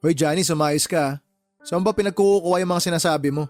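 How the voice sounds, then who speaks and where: Radio drama role: man playing stern middle-aged Filipino shop boss, fair but exasperated, lecturing in a high male register. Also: fast, darkish tone, faint music behind, natural voice. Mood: stern, scolding. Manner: firm, lecturing. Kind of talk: Tagalog radio drama acting.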